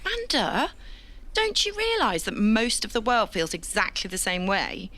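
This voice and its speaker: A woman acting a wry, teasing but supportive young friend in an audio drama, with a sardonic, expressive manner. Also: medium pitch, normal speed. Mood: encouraging.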